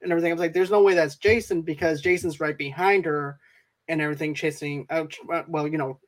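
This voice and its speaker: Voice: medium pitch, steady tone, quick pace. A co-host talking steadily and explaining points in a casual chat, often adding "and everything".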